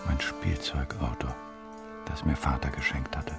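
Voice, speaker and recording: A vengeful, haunted man with an extremely low, creaking voice. He speaks slowly and deliberately, as an inner monologue in a radio play, full of brooding menace. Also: fairly even intonation, loud music behind the voice, full character voice. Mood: bitter, brooding. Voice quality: gravelly.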